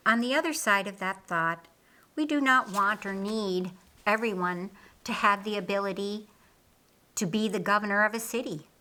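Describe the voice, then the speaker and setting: Bright-voiced woman reading an audiobook. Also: mid-range voice, normal speed. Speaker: audiobook reader. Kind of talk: scripted story narration.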